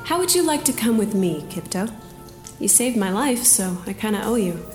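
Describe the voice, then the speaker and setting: A woman's voice: mid-range voice, lively intonation, moderate pace, darkish tone, faint music behind, lightly acted. A woman playing a tough female space smuggler in an audio drama, with a sardonic, casual manner. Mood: reassuring.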